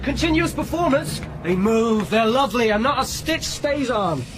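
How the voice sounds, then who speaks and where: A pushy strip club barker. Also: male voice, very high voice, animated, moderate pace, recorded from a distance, noisy background. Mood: wheedling. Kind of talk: radio drama acting.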